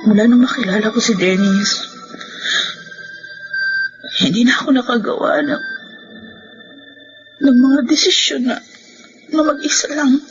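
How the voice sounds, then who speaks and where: Isang babaeng gumaganap sa dramang panradyo bilang balisa at mapagmahal na asawa't ina, nagsusumamo at sinisisi ang sarili. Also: female voice, fairly high pitch, steady tone, measured pace, pauses often, lightly acted. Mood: dazed, helpless. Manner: pleading, tearful. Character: distraught, devoted, self-blaming wife and mother. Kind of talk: Filipino radio drama acting. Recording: dull lo-fi radio drama sound. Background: prominent dramatic music.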